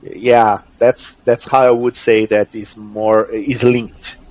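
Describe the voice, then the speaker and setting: A man's voice: on the low side, little pitch movement, takes it slowly, darkish tone, muffled recording, a little hiss or hum. A man from Brazil with a Brazilian accent and Portuguese as his first language, answering casually in an interview, repeating words as he searches for them. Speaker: interview guest, jiu-jitsu athlete.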